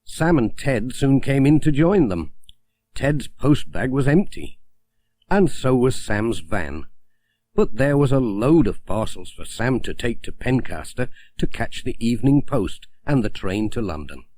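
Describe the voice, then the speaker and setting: A man narrating a children's story as an audiobook reader, in a gentle, expressive storytelling manner. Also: mid-range voice, unhurried, no echo.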